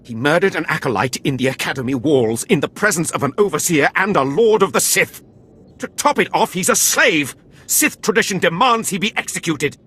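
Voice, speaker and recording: An actor playing a haughty, scornful Sith academy overseer in a video game, contemptuous and theatrical. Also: male voice, on the high side, lively intonation, normal speed, pushed and bright, husky, heavily acted. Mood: indignant.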